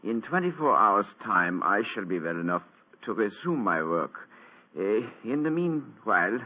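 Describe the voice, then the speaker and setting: A weak, bedridden German count with a low, husky voice that rises and falls a lot, stopping often to hesitate. The sound is tinny and thin, like an old radio broadcast. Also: male voice, sing-song. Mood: weak, urgent. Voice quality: halting, with many hesitations. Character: injured German count, older man, bedridden diplomat. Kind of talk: 1940s radio acting.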